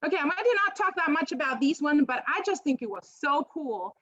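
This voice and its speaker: A woman with a strong Peruvian Spanish accent presents a talk over slides on a video call, her voice high and clear. She hesitates often with ums and restarts her phrases. The call audio sounds dry and slightly muffled. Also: animated, brisk, a bit bright, no echo. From Peru. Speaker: biology professor.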